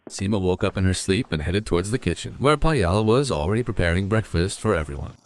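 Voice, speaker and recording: Male narrator with lowish voice. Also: animated, brisk. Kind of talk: scripted storytelling narration.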